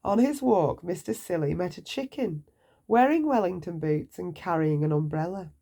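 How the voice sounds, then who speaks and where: A woman narrating a children's bedtime story aloud, with deliberate, expressive phrasing for young listeners. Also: lowish voice, vocal fry.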